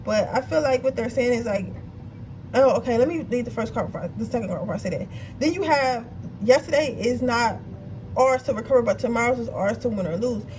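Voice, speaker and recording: A woman's voice: on the high side, a little fast, a little mellow. A woman giving a casual tarot reading, chatty and blunt, swearing freely and checking in with her viewers, her nose slightly stuffy. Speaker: tarot card reader. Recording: distant mic with noisy background.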